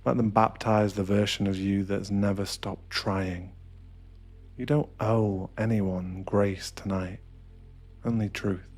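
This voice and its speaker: Male meditation guide, lowish pitch, hushed and deliberate dramatic delivery, intimate ASMR narration with faint music underneath. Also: flat delivery, breathy edge.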